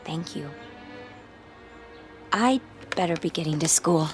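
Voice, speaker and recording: A woman playing a sweet, curious teenage girl in a radio drama, with a gentle, wondering manner. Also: deep voice, expressive, slow pace, soft background music. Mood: gentle teasing.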